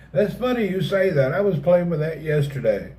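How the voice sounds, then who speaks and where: A man's voice: on the high side, dark tone. Joking Southern man streaming live. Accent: Southern American. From American South. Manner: folksy, joking, reads chat aloud, swears casually. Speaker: livestream host. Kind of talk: casual live-stream chat with viewers.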